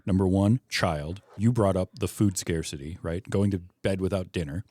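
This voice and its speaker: A man's voice: low-pitched, normal speed, mellow, no echo, studio quality. A man hosting a podcast, discussing a film in a casual, conversational way. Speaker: podcast host.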